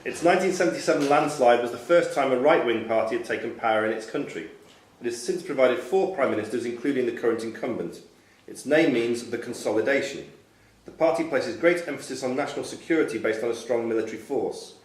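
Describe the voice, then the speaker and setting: A man's voice: mid-range voice, animated, quick pace. A man reading quiz questions aloud as a quizmaster in a club room. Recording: echoey club room with background noise.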